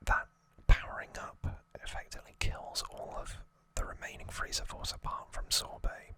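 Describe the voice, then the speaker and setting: A man's voice: moderate pace, bright, forceful tone. A man whispering as an ASMR host, giving an informal, rambling trivia recap and correcting himself as he goes.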